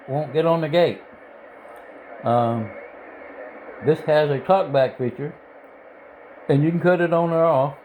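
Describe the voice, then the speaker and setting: A man talks through a hobby tutorial in a halting, plain-spoken way. He is informal and a little self-deprecating, with an average-pitched voice. Background noise and room ambience sit behind him. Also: darkish tone, rough recording. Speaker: hobbyist video tutorial presenter. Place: indoors at a home radio bench.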